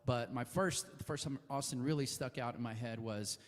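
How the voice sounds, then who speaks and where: A man's voice: medium pitch, normal speed, big-room sound. A man from Texas, a musician, talking casually and telling stories before he plays. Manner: friendly, rambling storytelling with fillers. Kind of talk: casual stage talk before a performance.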